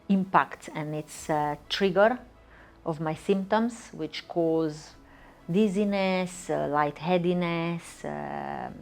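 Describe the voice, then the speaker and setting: Lady, low gravelly voice, keeps saying uh and repeating herself. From Romania. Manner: hesitant, many fillers and repeated words. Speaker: patient. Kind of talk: interview answers.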